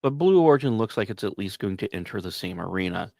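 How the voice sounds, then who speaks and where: A man hosting a podcast, reading scripted narration in a measured, dramatic way with emphatic pauses and casual asides. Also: fairly low pitch, animated, gravelly, a little mellow, dry recording, clean studio sound. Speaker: podcast host.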